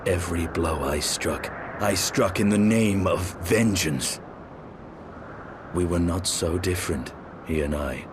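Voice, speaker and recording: An actor playing a grim, vengeful, war-weary knight in a fantasy video game, speaking in solemn, archaic phrases. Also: male voice, low-pitched, measured pace, background noise. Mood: grim, sombre. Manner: solemn, archaic formal speech. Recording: music behind the voice. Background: loud game score.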